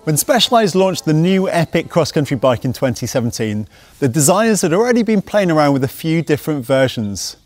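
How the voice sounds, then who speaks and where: A young man narrating a scripted mountain bike review in a brisk, technical, matter-of-fact way, speaking outdoors in woodland on a lapel mic. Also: fairly high pitch, lively intonation, a little mellow, no echo. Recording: faint music underneath.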